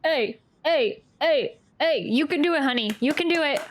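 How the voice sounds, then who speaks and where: A woman's voice: high-pitched, lively intonation, moderate pace, slight background noise. A woman streamer reacting casually as she plays a game, often stammering and repeating her words. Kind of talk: casual live playthrough reaction. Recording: game music behind.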